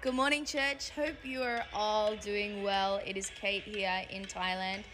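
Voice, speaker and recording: A woman's voice: mid-range voice, fairly even intonation, measured pace, noisy background. A young woman missionary giving an upbeat, chatty video update to her church. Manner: upbeat chatty report with fillers.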